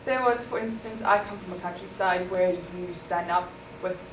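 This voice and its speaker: South African woman with low voice, echoey. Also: moderate pace. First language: Afrikaans. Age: young adult. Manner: thoughtful, explanatory, with many ums. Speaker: interviewee, international student. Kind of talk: interview answers. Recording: echoey room, far from the mic, noisy.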